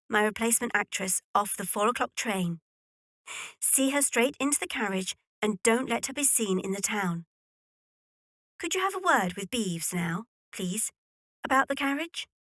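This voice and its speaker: A woman's voice: a little high, lively intonation, unhurried, fairly bright tone, no room sound, clean studio sound. A woman playing a self-possessed, teasing young heiress in a period audio drama. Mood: brisk, conspiratorial.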